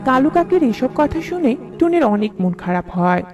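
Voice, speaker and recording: Female children's story narrator: animated melody, mid-pitched, music underneath. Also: normal speed. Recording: music behind the voice.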